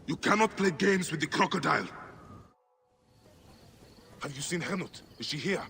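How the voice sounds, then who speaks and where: An actor playing an earnest, protective and vengeful warrior of ancient Egypt in a video game cutscene. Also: male voice, a little high, lively intonation, normal speed, gravelly, sharp tone, full character voice. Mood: urgent, alarmed.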